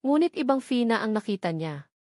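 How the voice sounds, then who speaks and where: A woman's voice: fairly high pitch, sing-song, brisk, a little mellow, no echo, clean studio sound. A woman with a Filipino accent narrating a scripted romance drama in an emotive, dramatic way. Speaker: audiobook narrator.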